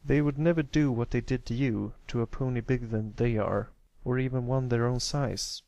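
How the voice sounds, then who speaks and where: A man's voice: mid-range voice, steady tone, moderate pace, vocal fry, mellow, no echo, dull audio, close to an everyday voice. Man as a protective older brother guard stallion. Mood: gentle, encouraging.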